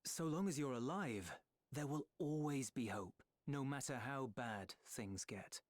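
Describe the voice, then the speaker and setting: A man's voice: mid-range voice, a little slow, no echo, close to an everyday voice. A man playing an earnest young companion in a fantasy video game, reassuring and sincere in his delivery. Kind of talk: video game dramatic acting. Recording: dry studio voice over game music. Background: game music.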